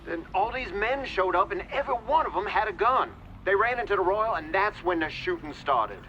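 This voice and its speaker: A man acting a shaken eyewitness on a radio news report in a video game, recounting excitedly. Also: sits high, brisk. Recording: noisy muffled radio sound.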